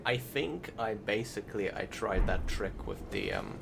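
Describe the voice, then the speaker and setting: A man's voice: lowish voice, wildly swooping pitch, a little slow, vocal fry, heavy background noise. A man giving casual, rambling gameplay commentary as a voice-over, hesitant, thinking aloud and correcting himself. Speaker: gaming YouTuber. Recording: loud game music behind the voice. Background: loud video game music.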